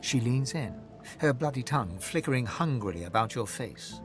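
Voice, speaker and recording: An actor narrating a dark fantasy video game as a solemn storyteller, in a literary, dramatic style. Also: male voice, medium pitch, lively intonation, normal speed, lightly acted. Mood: grave, descriptive. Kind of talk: scripted second-person game narration. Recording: music behind the narration. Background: music.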